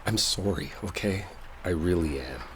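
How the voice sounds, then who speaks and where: A man acting an earnest, lovestruck coworker in an intimate roleplay audio, speaking in a halting, colloquial, confessional way. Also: low voice, expressive, a little hiss or hum. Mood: tender, regretful. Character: earnest lovestruck male coworker clearing up a misunderstanding. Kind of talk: intimate dramatic roleplay audio, one-sided conversation. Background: rainstorm ambience.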